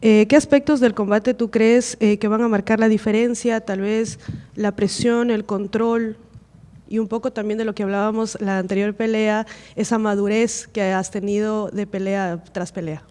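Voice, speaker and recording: Mellow-voiced woman reporter, echoey hall. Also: medium pitch, fairly even intonation, normal speed. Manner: courteous, with frequent "eh" fillers. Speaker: Spanish-language reporter. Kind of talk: interview questions.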